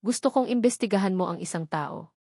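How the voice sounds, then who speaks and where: A woman's voice: mid-range voice, a little fast, warm tone, husky, no echo. Story role: grave, controlled Filipino tycoon haunted by suspicion, read by a woman, dry sound. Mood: grave, commanding. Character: serious, insistent wealthy man haunted by suspicion.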